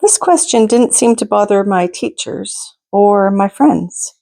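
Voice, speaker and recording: A woman reading a picture book aloud to children as a storytime host, in a deliberate, expressive manner with asides to her listeners. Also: medium pitch, vocal fry. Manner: deliberate, expressive, pauses to ask listeners questions.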